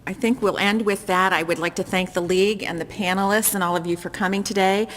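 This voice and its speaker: A woman moderating a public forum, brisk and polite. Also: mid-range voice, steady tone, a little hiss or hum.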